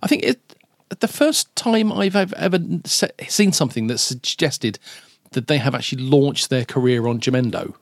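A man co-hosts a music podcast in a casual, friendly way. His voice is plain and mid-pitched, recorded close and dry in a studio. He chats loosely and often restarts words mid-sentence. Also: animated, moderate pace, vocal fry, no room sound. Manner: chatty, cheery, often stumbles and restarts words. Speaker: podcast co-host.

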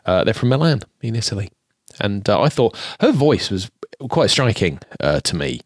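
A man's voice: fairly low pitch, big pitch swings, moderate pace, no room sound. A man in his forties co-hosting a podcast, chatting casually with hesitant ums and dry jokes. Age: 40s. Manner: rambling, hesitant with many ums, dry joking. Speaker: podcast co-host. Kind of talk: casual chat.

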